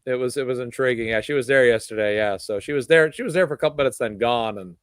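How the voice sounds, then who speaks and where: A man's voice: medium pitch, fast, clear, resonant tone, no echo, clean studio sound. A man guest in a casual livestream chat, talking in a supportive, easygoing way.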